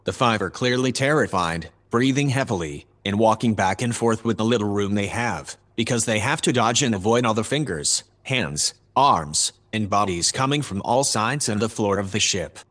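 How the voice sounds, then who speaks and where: A man playing a composed, formal artificial assistant in a sci-fi audio drama, reporting in a measured, matter-of-fact way. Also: on the low side, expressive, fairly bright tone. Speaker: voice actor.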